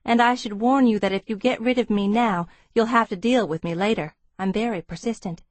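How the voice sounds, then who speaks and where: A woman voicing a bold, persistent younger woman with crisp, businesslike speech in an audiobook. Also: medium pitch, fairly quick, dry recording, natural voice. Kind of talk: audiobook character dialogue.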